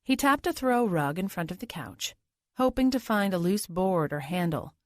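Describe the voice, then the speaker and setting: A woman's voice: lowish voice, lively intonation, moderate pace, no room sound, studio quality. A woman reading scripted thriller audiobook narration.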